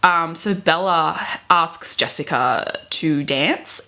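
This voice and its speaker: A woman's voice: low-pitched, takes it slowly, bright, forceful tone. A woman in her twenties giving dramatic readings of fan fiction with chatty, gushing asides, in a theatrical, giggly manner. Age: 20s. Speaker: book vlogger. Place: bedroom, indoors.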